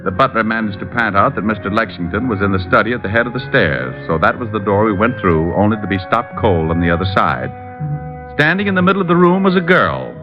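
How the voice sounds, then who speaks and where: Cocky private eye, low animated voice, dry wit, vintage radio detective drama, dull recording. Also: male voice, fast, over music. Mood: wry narrating. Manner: breezy, sardonic, dry wit. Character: flippant wisecracking male private detective, self-assured and unflappable. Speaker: lead actor and narrator.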